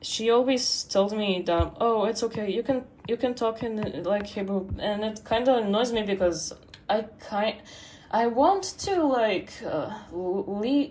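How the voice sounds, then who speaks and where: A teenage girl rambling on a livestream, full of pauses, fillers and restarts. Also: mid-range voice, a little mellow, low-quality recording. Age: teens. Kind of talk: rambling casual stream chat.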